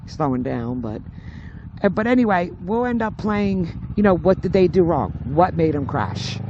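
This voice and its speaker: A woman's voice: lowish voice, big pitch swings, moderate pace. A middle-aged woman vlogger chatting casually in a rambling, conversational way over a helmet intercom while riding a motorcycle. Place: outdoors on a moving motorcycle. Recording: muffled helmet intercom, loud road noise.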